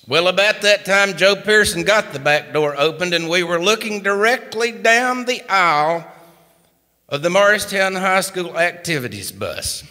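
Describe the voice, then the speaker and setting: A man from East Tennessee with an East Tennessee accent, spinning a funny story in an unhurried folksy drawl as a singer-songwriter and storyteller on a live radio stage. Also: sits high, sharp tone, big-room sound. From East Tennessee, USA.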